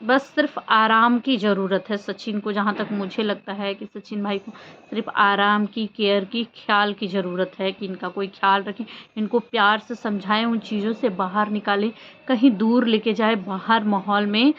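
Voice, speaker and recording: A woman's voice: mid-range voice, steady tone, moderate pace. A woman vlogger giving casual opinion commentary in an emphatic, conversational way with rhetorical questions. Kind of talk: casual opinion commentary on social media drama. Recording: dull lo-fi audio with background noise.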